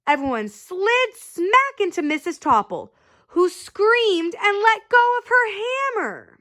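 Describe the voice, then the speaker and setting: A woman's voice: very high voice, big pitch swings, takes it slowly, pushed and bright. A woman reading a children's picture book aloud expressively, phrase by phrase, with dramatic emphasis. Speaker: storybook reader.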